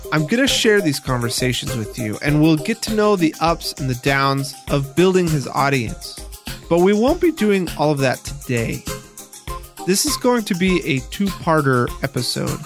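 A man hosting a podcast, interviewing and narrating in a documentary style. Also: on the high side. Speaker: podcast host.

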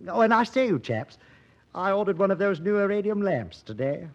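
An actor playing a jovial, snobbish, money-minded doctor, hearty and breezy in old radio drama style. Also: male voice, sits high, sing-song, fairly quick. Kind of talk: 1940s radio drama acting. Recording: dull, muffled old radio recording.

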